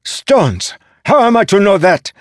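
A man's voice: low voice, measured pace, husky, no echo, studio quality. A man voicing an earnest young warrior son in a fantasy audiobook, intense and frustrated as he defends himself. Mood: angry, exasperated. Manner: intense, indignant when challenged.

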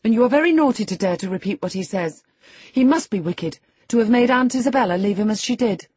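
A woman as a spirited young girl, husky. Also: mid-range voice, expressive, normal speed, warm tone, no room sound, voice pushed up. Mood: pert, indignant. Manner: earnest, impulsive, pert. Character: spirited, affectionate, outspoken young teenage girl.

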